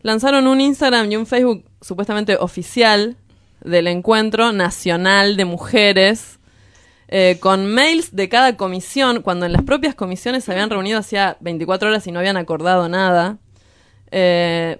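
A woman's voice: medium pitch, clear, resonant tone, no room sound, clean studio sound. A woman with an Argentine accent hosting a radio show, chatting casually and emphatically about activism in colloquial speech. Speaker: radio host.

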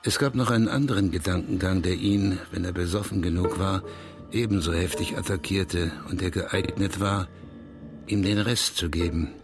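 An actor playing a detached narrator of a bleak life in a literary audio drama, measured and bitter. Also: male voice, low-pitched. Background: music.

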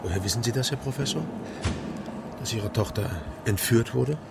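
An actor playing a private detective of about thirty in a radio drama, curt and questioning. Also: male voice, medium pitch, moderate pace, creaky, noisy background, natural voice. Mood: curt, placating. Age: 30s. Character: thirty-year-old East Berlin private detective. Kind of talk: German radio drama dialogue.

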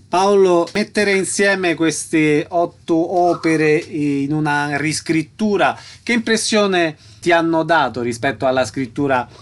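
Man, interviewer, raised pitch, short questions, attentive listening murmurs. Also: takes it slowly, a little hiss or hum.

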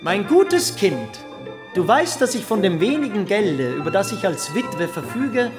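High-pitched widowed mother. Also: sing-song, a little slow. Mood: plaintive. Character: widowed mother's tender letter, read aloud mockingly. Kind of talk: German radio drama acting. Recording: music behind the voice.

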